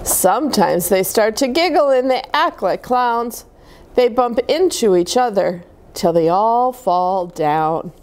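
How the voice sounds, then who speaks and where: A woman reading picture books aloud to young children, with expressive, deliberate phrasing and chatty asides to her listeners. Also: fairly high pitch, noisy background. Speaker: children's story-time reader at a church.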